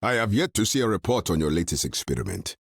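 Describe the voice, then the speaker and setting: An actor playing a commanding, calculating villain boss in a video game, deep and gravelly, speaking in a measured, authoritative way. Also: male voice, very animated, dry recording, studio quality, full character voice. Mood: stern, composed. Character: commanding, calculating male villain leader of a criminal organization.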